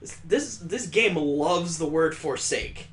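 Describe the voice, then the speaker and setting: A man with a slightly high voice plays through a visual novel and reads it aloud. He keeps cutting in with sarcastic, disbelieving remarks. The room around him sounds echoey. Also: takes it slowly, fairly bright tone. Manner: sarcastic, incredulous asides. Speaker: let's play host. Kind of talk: reading a visual novel aloud with joking commentary.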